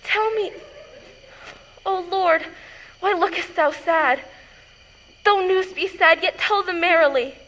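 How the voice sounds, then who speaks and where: A woman plays an excitable, lovestruck teenage girl in a Shakespeare stage drama. Her voice is extremely high and she draws the verse out slowly, with emphatic, pleading impatience. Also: full character voice, rough recording. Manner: theatrical, drawn-out, emphatic delivery.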